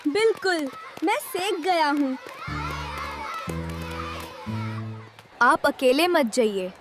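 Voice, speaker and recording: A woman's voice: sits high, very animated, measured pace, over music. A woman voicing a caring, responsible young child in a children's moral cartoon. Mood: earnest.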